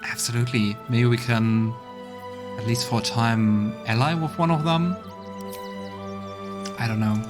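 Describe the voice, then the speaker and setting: A man's voice: mid-range voice, fairly even intonation, a little slow, pauses often. A man doing casual let's play commentary, musing aloud in a laid-back way. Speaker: let's player. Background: game music.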